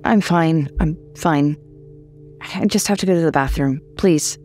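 A woman's voice: deep voice, measured pace, a little mellow, faint music behind. A woman voicing a young, sullen, hot-headed female art student in a story. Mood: shaken.

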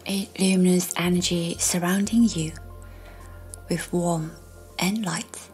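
A woman leads a guided meditation in a hushed, breathy voice that stays very even and slightly low. She speaks slowly and gently, leaving long pauses between phrases. Faint music plays underneath. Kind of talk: slow, soothing guided meditation, scripted narration.

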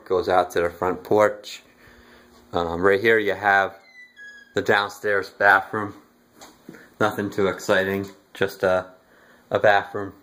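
A young man giving a casual walking tour of his house, relaxed and halting with lots of fillers, recorded on a handheld phone indoors. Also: lowish voice. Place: inside a house, walking room to room. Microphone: handheld phone camera, filming rooms.

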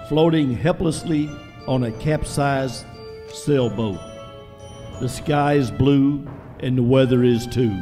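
A man as slow, ominous horror-show narrator in hall. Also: mid-range voice, warm tone, lightly acted. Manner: slow, mock-ominous, dramatic. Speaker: narrator of a comic radio skit. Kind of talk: old-time horror radio narration parody. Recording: echoey hall, music behind.

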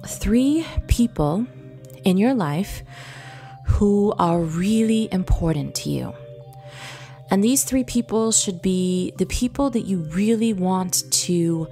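A woman leading a guided visualization meditation in a gentle, soothing, instructive manner with long pauses. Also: medium pitch. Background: background music.

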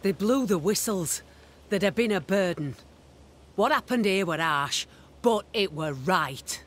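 Hard, low-voiced, defiant elder sister. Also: female voice, lively intonation, a little slow, fairly bright tone. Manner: stern, defiant, archaic rustic phrasing. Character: hard, unrepentant elder sister, ruthless settlement leader. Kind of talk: dramatic video game acting.

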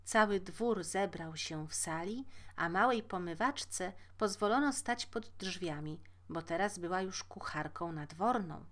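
A woman reading a fairy tale aloud as a storyteller, measured and expressive. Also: fairly low pitch. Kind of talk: scripted fairy-tale narration.

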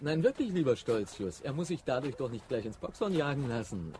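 An actor as a lively, mellow army major. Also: male voice, mid-range voice, brisk, soft background music, close to an everyday voice. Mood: reassuring. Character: hearty, condescending male army major, bluff friend. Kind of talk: German radio drama acting.

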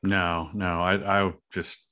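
A man's voice: sits low, flat delivery, takes it slowly, pushed and bright, clear, resonant tone. A man speaking as a podcast guest in a casual interview, like an independent filmmaker explaining his work.

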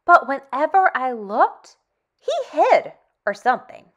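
High, lively woman reading to children. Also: dull audio. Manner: animated, expressive, deliberate phrasing with dramatic hushes. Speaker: storytime host. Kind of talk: children's read-aloud, addressing young children.

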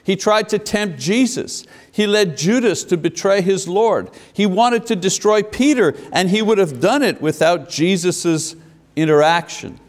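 Fifties male preacher, lively pulpit delivery with rhetorical questions, slightly high voice, long church reverb. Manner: animated, gesturing, asks the congregation questions. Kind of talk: sermon. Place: church sanctuary at a wooden pulpit. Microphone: lapel mic.

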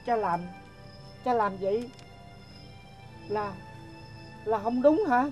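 A hesitant, troubled father in a dubbed cartoon, stammering and halting, voiced by a woman. The voice sits fairly high for the role, with faint music underneath. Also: darkish tone, dull audio. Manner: hesitant, stammering when unsure. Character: troubled, remorseful middle-aged father.

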